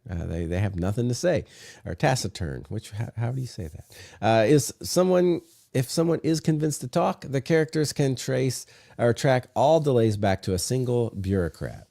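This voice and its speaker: A man's voice: medium pitch, animated, moderate pace, vocal fry, mellow, no room sound, studio quality. A man hosting a podcast with a sick, congested voice, chatting casually and reading text aloud with many filler "uh"s. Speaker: podcast host.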